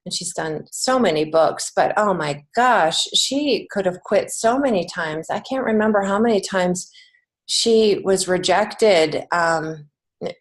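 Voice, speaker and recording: An American woman with a slightly low-pitched voice talks as an interview guest. She is earnest and encouraging, pausing thoughtfully as she explains her views in a conversational way. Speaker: guest, children's book author and writing teacher.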